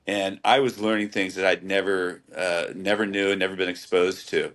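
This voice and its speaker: Low-voiced man from Hawaii telling stories. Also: level tone, moderate pace, fairly bright tone. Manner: anecdotal, storytelling, repeats words. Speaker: podcast guest, channel swimmer. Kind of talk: casual interview chat.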